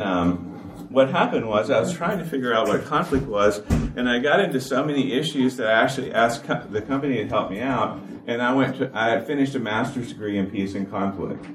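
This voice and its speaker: A man, giving an informal talk to a church congregation in a flat, even-toned delivery. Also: lowish voice. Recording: echoey hall from far off with noise.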